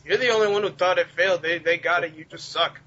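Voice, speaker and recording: A man's voice: high-pitched, fast, pushed and bright. A man co-hosting a casual podcast, defensive and snarky, swearing and stammering over his repeats. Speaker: podcast co-host. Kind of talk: casual banter. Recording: muffled noisy internet call recording.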